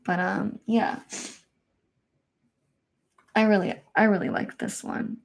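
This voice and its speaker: A young woman from Kansas chats with her livestream viewers in a soft, unhurried voice of middling pitch. She hesitates often, filling the gaps with ums and likes as she thinks aloud. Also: steady tone, vocal fry, lo-fi sound. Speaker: livestream host. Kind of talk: casual livestream chat, reading viewer comments.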